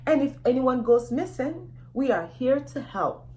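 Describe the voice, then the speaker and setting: Woman as emphatic storybook animal mayor, lively pitch. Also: a little slow. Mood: proclaiming. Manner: declaiming, emphatic, repeats phrases. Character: male animal town mayor, proclaiming, kindly.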